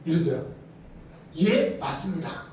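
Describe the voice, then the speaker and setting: A middle-aged Korean man, a senior pastor, preaching a sermon, asking the congregation questions and swinging between loud emphasis and quiet asides. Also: a little high, lively intonation, normal speed. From South Korea. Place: church sanctuary. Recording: echoey hall from far off, faint noise.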